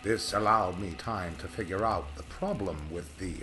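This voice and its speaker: A man's voice: low voice, normal speed, sharp tone, soft background music. A man playing a proud, curt aviator in old-time radio style, with formal, old-fashioned diction. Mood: grave. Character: proud male aviator home from three lost years, curt, formal. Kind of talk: 1940s radio acting.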